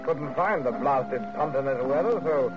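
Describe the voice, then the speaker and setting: An actor playing an idle, sulky young son of a middle-class family in a 1940s radio drama, flippant and drawling. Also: male voice, mid-range voice, expressive, a little slow, over loud music, lightly acted. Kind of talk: 1940s radio suspense acting. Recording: dull, noisy 1940s radio recording.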